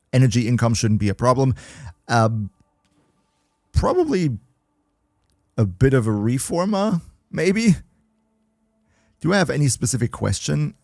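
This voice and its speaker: A man's voice: medium pitch, creaky, a little mellow, dry recording. Chatty man streaming, dry sound. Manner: chatty, joking, reads chat aloud. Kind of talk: casual livestream chat with viewers.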